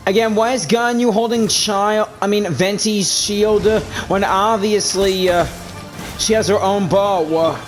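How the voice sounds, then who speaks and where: A gamer talks through a playthrough in a casual way. The voice sits at a middling pitch, and faint game music plays behind it. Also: fairly even intonation, measured pace. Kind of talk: casual game commentary, reading dialogue aloud.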